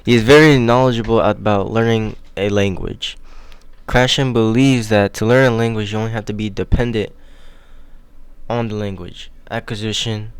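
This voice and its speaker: A young man talks through an idea in a casual vlog. His voice is fairly low and smooth, captured dry and close, and he rambles haltingly in slangy phrases as he thinks aloud. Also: no room sound. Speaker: video host. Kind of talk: casual unscripted explainer vlog.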